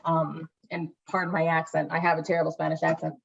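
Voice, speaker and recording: Chatty woman with a low voice, dull lo-fi sound. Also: level tone, gravelly. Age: adult with children. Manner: chatty, enthusiastic, quick interjections. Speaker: podcast co-host. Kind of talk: casual chat.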